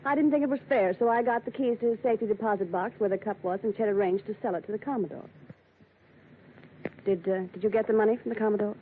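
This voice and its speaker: Actress as femme fatale, scheming, cool, arch, mid-pitched, vintage radio play, narrow tinny sound, faint noise. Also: female voice, quick pace, lightly acted. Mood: anxious, explaining. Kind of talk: 1940s radio drama acting. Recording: tinny, faintly noisy old radio broadcast.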